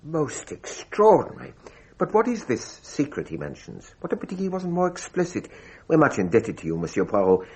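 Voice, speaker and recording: An actor playing a courteous French examining magistrate in a radio drama, speaking in a formal, measured manner. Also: male voice, fairly high pitch, huge pitch swings, a little mellow, breathy edge, full character voice. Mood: grave, courteous.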